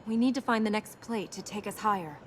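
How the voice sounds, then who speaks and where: An actress playing a serious, resolute young princess in a video game, measured and matter-of-fact. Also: female voice, mid-range voice, lightly acted. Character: solemn, resolute young princess guiding an adventurer. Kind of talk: video game character acting. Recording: noisy game capture with ambience.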